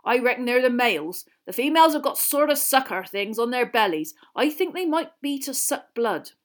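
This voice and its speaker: A woman acts out a big, gruff gamekeeper from a storybook, proud and enthusiastic. The speech is rustic and folksy with dropped consonants, pushed loud and raised in pitch with lively swings. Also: normal speed, lightly acted.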